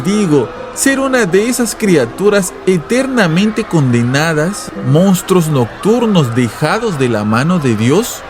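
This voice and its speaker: A man's voice: fairly high pitch, voice pushed up. A man playing a fervent, imperious revival preacher in a dramatized story, speaking with urgent, vibrant, menacing oratory. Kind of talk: dramatized audiobook fire-and-brimstone sermon.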